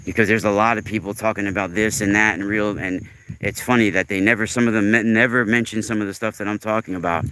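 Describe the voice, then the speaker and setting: A man's voice: fairly low pitch, fairly even intonation, quick pace, sharp tone, clear, resonant tone, poor audio. A man in his forties hosting a casual livestream monologue, talking in an earnest, emphatic, rambling way. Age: 40s. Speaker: livestream host.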